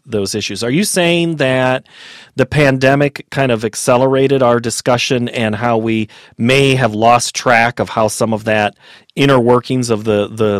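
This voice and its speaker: A man's voice: medium pitch, no room sound. Rambling male host, dry close sound. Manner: rambling, conversational, with repeated words. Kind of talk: interview questions.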